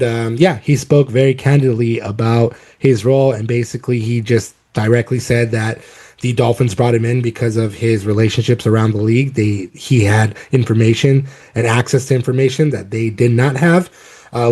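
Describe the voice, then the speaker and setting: A man hosting a casual sports talk show while fighting a cold, so his voice sounds a little off. His tone is dark and mellow. He rambles along with frequent ums and restarts. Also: mid-range voice, fairly even intonation, normal speed. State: has a cold, voice a bit off. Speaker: podcast host.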